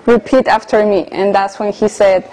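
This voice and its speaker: A woman of about thirty, speaking English in a middle-pitched, everyday voice. She tells her personal story earnestly and unhurriedly, with small hesitations between thoughts. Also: steady tone, moderate pace, mellow, poor audio. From Colombia. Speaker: interviewee. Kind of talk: personal testimony in conversation.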